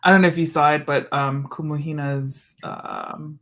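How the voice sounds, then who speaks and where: A woman's voice: low-pitched, steady tone, normal speed. A woman from Hawaii hosting a podcast, chatting casually in an informal, reflective and meandering way. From Hawaii, USA. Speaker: podcast host. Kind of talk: casual chat.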